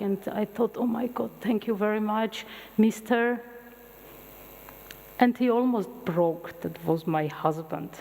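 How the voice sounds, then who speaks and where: A middle-aged woman whose first language is Armenian lectures in English with a lively storytelling manner, stopping and restarting her words. Her voice sits fairly high. It rings out with the long reverb of a big lecture hall. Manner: animated storytelling with halting restarts. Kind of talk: open university lecture.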